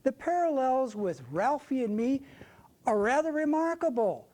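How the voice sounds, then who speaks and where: An older American woman from Ohio giving a prepared, theatrical stage talk, deliberately addressing her audience and reminiscing. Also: high-pitched, expressive, warm tone. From suburban Ohio, United States. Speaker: presenter, readers' theater member.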